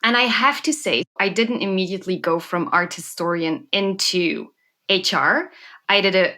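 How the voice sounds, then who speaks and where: A woman's voice: medium pitch, normal speed, bright, forceful tone. A woman in her thirties from Belgium with a Belgian accent, a guest telling her story with enthusiasm in a conversational interview.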